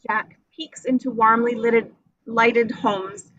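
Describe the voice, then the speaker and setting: A woman's voice: a little high, fairly even intonation, slow pace, fairly bright tone, highs cut off, slight background noise. A woman reading screenplay action descriptions at a table read in a dramatic storytelling manner. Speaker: reader of stage directions. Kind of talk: scripted narration at a table read.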